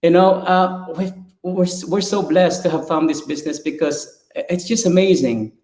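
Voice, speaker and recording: A man who works at a mine site, giving a halting testimonial on a live webinar, with many fillers and nervous pauses. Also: sits high, a little mellow. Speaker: fly-in mine worker and business partner. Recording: echoey room.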